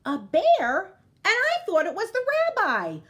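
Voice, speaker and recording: A woman's voice: very high voice, wildly swooping pitch, measured pace, fairly bright tone, pitched above the performer's own voice, full character voice. A woman voicing a kindly, chatty old grandmother who fusses over and coaxes her guest in a storybook tale. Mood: bright, surprised. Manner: fussing, coaxing, exclaiming.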